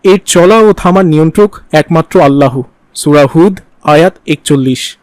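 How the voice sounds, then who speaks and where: A man's voice: fairly high pitch, moderate pace, no echo, close to an everyday voice. A man playing a stern father who explains religious manners in a cartoon. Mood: instructive. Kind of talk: didactic cartoon dialogue.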